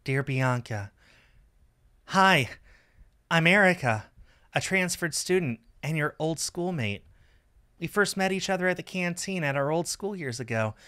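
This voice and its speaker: A man voicing a shy, earnest schoolgirl reading out her love letter in a visual novel, gently. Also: on the high side, expressive, measured pace, pauses often, smooth, voice pushed up. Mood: shy, tender. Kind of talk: visual novel character read-aloud.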